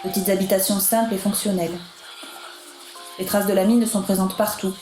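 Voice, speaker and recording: A woman's voice: medium pitch, little pitch movement, moderate pace, dark tone. A woman reading scripted radio narration in an echoey room, with music behind her.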